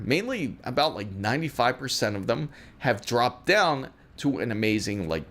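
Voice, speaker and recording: A man hosting a live tech stream, talking casually and reading viewer questions aloud, with plenty of fillers and restarts. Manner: lively pitch. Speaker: tech livestream host answering chat questions.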